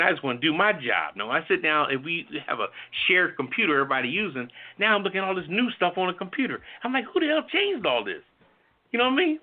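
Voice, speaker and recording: Phone-like audio, higher-pitched middle-aged man, blunt, profane, opinionated commentary, repetitive emphasis. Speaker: talk show host giving commentary. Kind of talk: opinionated informal monologue.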